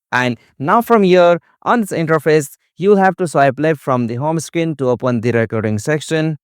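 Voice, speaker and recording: A man narrates a tutorial step by step in a clean, smooth voice. The sound is close and dry, with no room around it. His pitch sits in an ordinary middle range, and his phrasing is formulaic and repetitive. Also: no room sound.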